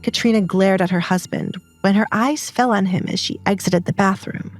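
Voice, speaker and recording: A woman's voice: mid-range voice, normal speed. Woman narrating fiction over faint music. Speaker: story narrator. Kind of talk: scripted dramatized narration.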